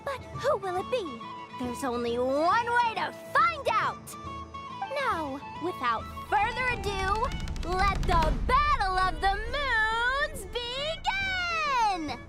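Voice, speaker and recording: An excitable young girl from a video game, speaks in a very high voice. Her delivery swings widely and is unhurried, full of showy, cocky bravado. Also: heavily acted. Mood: showy announcing. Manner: theatrical, mock-announcer bravado. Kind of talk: animated video game voice acting. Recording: game music behind the voice.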